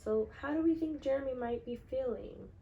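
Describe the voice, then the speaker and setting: A woman teacher reading a picture book aloud to children expressively, with deliberate, instructive asides and questions. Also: high-pitched, lo-fi sound, a little hiss or hum. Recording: echoey room.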